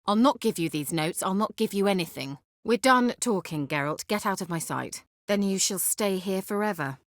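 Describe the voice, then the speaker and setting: A haughty young sorceress from a fantasy game, acted by a woman. Her speech is teasing and sardonic, with a very animated, expressive pitch. The sound is dry, with no room around it. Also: normal speed, no echo, close to an everyday voice. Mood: angry, scornful. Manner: haughty, teasing, biting sarcasm. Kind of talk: video game character dialogue.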